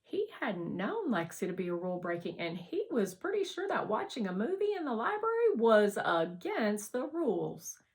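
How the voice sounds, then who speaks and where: A woman narrating a children's novel aloud as a scripted, expressive read-aloud. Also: mid-range voice, normal speed, few pauses. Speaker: audiobook reader.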